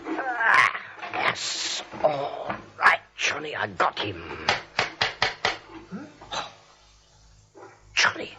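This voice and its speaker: An actor playing a nervous, soft-hearted crooked doctor, muttering and fussing in a radio comedy. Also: male voice, on the high side, huge pitch swings, extremely slow, pauses often, heavy background noise, heavily acted. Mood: flustered, furtive. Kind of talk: 1940s radio comedy acting. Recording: muffled old radio recording.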